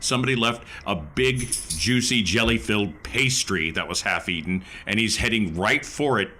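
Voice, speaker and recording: A man's voice: lowish voice, expressive, normal speed, sharp tone. A man chatting casually as a player in a tabletop role-playing game. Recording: faint background noise.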